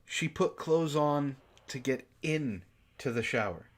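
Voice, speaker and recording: A man's voice: mid-range voice, lively intonation, slow. A man hosting a comic book club, reading a story aloud and breaking off with exasperated, incredulous commentary. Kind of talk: reading fan fiction aloud with mocking commentary.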